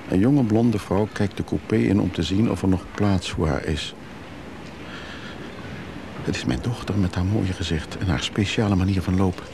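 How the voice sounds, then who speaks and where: Remorseful, brooding father for radio drama; very low, creaky voice, reflective delivery, noisy old recording. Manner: reflective, melancholy. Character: brooding father and husband, traveler, craving drink, remorseful. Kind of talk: radio play acting, confessional monologue.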